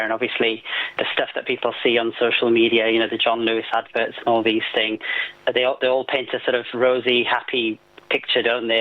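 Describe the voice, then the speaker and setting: Male medical guest for radio interview: measured, explanatory, little pitch movement, lo-fi telephone-style line. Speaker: GP and health campaign guest. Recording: muffled lo-fi phone-in sound.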